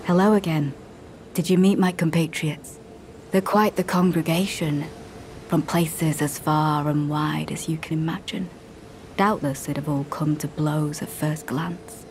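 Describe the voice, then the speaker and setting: A low female voice plays a devout knight in a fantasy video game. She speaks in measured, archaic, courteous phrases with a coldly zealous edge. Faint ambience sits behind her. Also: steady tone, a little mellow, a little hiss or hum. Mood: composed, courteous. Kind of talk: scripted video game dialogue.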